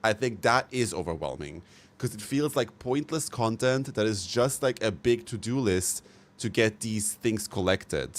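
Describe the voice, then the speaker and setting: A man's voice: lowish voice, lively intonation, unhurried. A man streaming live, reacting bluntly to game news and giving opinionated takes. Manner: swears casually. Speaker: livestreamer. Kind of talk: casual live-stream commentary.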